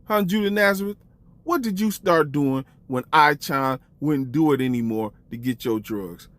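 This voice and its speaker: A man's voice: on the high side, normal speed, fairly bright tone, no echo. A man giving mocking online commentary, drawing out accusations and teasing rhetorical questions. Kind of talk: mocking commentary, addressing the camera.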